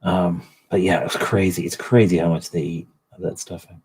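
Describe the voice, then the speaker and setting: A man with a deep voice, low in pitch and husky. He chats casually on a livestream and often drops into quiet mutters and whispered asides as he works. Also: fairly even intonation, normal speed. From Canada. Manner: soft, muttering to himself, drifting between quiet asides and chat. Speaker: livestream host painting miniatures. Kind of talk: casual chat while painting.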